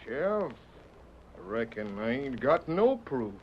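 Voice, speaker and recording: A man's voice: medium pitch, very animated, unhurried. A man acting a folksy, indignant lone drifter in an old radio Western, with an American Western drawl and exclamatory talk. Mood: indignant, defensive. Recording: dull, hissy old radio recording.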